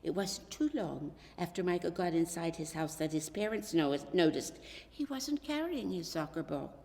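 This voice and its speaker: A woman reading a children's story aloud as storyteller, expressive, dramatic and deliberate. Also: on the low side, gravelly. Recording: reverberant hall.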